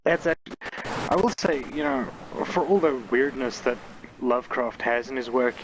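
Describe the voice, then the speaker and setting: Male game reviewer, medium pitch, informal let's play chat, noticeable background noise. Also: normal speed. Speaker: game reviewer playing and commenting. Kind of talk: casual let's play commentary.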